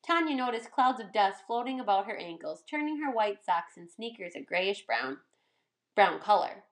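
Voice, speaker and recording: Female storyteller, medium-pitched, expressive narration for a children's picture book. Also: moderate pace.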